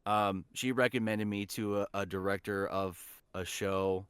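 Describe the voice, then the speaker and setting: Low, clear-voiced man chatting casually. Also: fairly even intonation, a little slow, a bit bright, no room sound. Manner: enthusiastic, informal. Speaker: guest, voice actor. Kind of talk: casual podcast chat.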